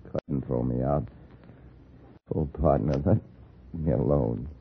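An actor playing an exhausted, desperate man framed for murder in a 1950s radio drama, halting and pleading. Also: a bass voice, vocal fry, slight background noise, full character voice. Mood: worn out. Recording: muffled old radio broadcast with music.

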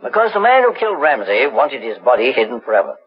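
An actor playing a shrewd, authoritative older private investigator in a deliberate 1940s radio drama style. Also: male voice, mid-range voice, sing-song. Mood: stern, accusing. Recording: dull, husky vintage 1940s radio recording.

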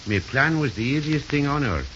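A man's voice: medium pitch, lively intonation, normal speed, lightly acted. An actor playing a feisty, aggrieved Irish father in a 1930s radio drama, blustery and sarcastic. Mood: bitter. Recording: noisy, hissy 1930s radio recording, dull.

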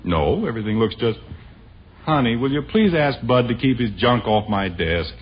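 A man's voice: mid-range voice, very animated, moderate pace, a little hiss or hum, natural voice. An actor playing a genial, mildly exasperated American husband and father in a 1950s radio sitcom. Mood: puzzled, irritated. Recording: muffled old radio broadcast.

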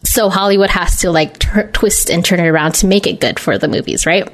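Female podcast co-host, medium pitch, informal and conversational delivery. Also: brisk. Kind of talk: casual chat about games and films.

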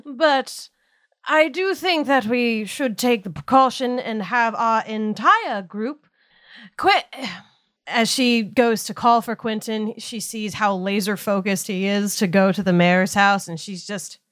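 A woman's voice: a little high, slow, a bit bright, no echo, studio quality. A woman playing a tabletop role-playing game, slipping between in-character speech and casual talk, wordy and bossy.

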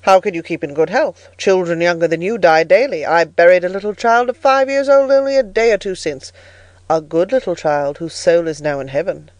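A woman voicing a solemn, stern male clergyman who speaks slowly and preachily in an audiobook story. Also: a little mellow, no room sound, natural voice. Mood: grave, reproving. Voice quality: bass. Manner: solemn, slow, sermonizing. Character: solemn, stern, harsh elderly clergyman interrogating a child.

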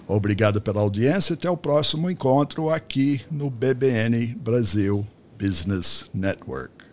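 Older guy hosting a podcast, rambling casual chat, a bit of rumble in the background. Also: lively intonation, normal speed, darkish tone. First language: English. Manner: rambling, checks in with "tá?". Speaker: podcast host.

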